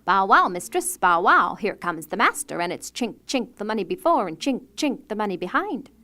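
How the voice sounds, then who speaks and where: An old, loyal farm dog barks out its warning in a loud sing-song chant, as a woman storyteller puts it on. The storybook voice stays close to her own pitch but is much louder. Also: sing-song, moderate pace.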